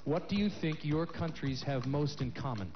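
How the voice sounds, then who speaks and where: A man's voice: a little high, expressive, normal speed. A man hosting a live pageant on a large stage, asking contestants questions with a handheld mic in an upbeat, jokey manner. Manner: upbeat, jokey, announcing names. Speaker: pageant host. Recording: muffled echoey broadcast with music and crowd.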